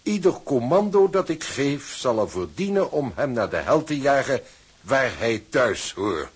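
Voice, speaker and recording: Radio drama official: icy, formal, condescending, mock-polite, husky mid-range voice. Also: male voice, medium pitch, sing-song, unhurried, heavily acted. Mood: reading with disdain. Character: cold, condescending male shipping company official. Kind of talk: Dutch radio drama acting.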